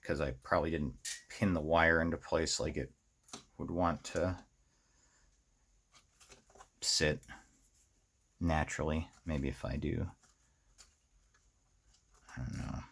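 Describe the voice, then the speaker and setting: A man talking through hands-on work in an unscripted tutorial, musing out loud in fragments as the host. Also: rumbling low, takes it slowly, vocal fry, long pauses, slightly rough. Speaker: host of a DIY circuit bending video series. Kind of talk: unscripted hands-on tutorial commentary while working.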